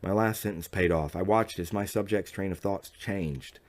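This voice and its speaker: Male audiobook narrator, deep register, measured dramatic delivery for first-person fiction.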